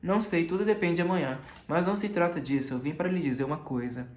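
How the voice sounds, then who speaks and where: A man's voice: a little high, quick pace, a little mellow, lightly acted. A man voicing a brooding, troubled man in an audiobook, somber, abrupt and questioning. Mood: grave. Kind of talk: audiobook character reading. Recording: echoey room.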